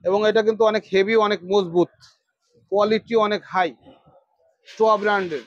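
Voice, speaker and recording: A Bangladeshi man gives a product demonstration in a notably high voice. He addresses viewers directly and repeats phrases to press his points. The sound is dry and somewhat dull. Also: fairly even intonation, brisk, no room sound. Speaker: electronics shop presenter. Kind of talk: product demonstration sales pitch.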